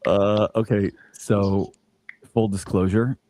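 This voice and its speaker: A man's voice: on the low side, flat delivery, slow pace, dark tone, a little hiss or hum. A man hosting a live podcast stream, chatting casually and joking, often restarting his sentences. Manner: joking, loose, restarts a lot. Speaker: podcast host.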